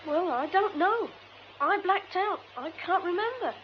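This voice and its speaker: A woman's voice: very high voice, expressive, unhurried, lo-fi sound, noisy background. A woman playing a cheeky young boy, drowsy and streetwise, in a radio drama. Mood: puzzled, indignant.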